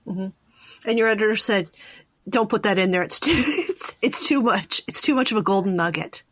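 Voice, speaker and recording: Female podcast host: medium pitch, informal and emphatic, conversational delivery, very dry studio-like sound. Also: moderate pace, a bit bright, no echo. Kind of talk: casual interview chat.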